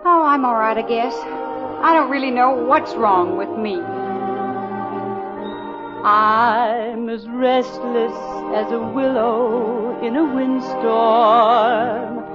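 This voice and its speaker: High, slow, dreamy farm girl. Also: steady tone, loud music behind the voice. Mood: restless. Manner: wistful, lyrical, lingering. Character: restless, dreamy young farm daughter. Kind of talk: 1950s radio musical, lyrical and operatic singing. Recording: muffled old radio broadcast, loud orchestra.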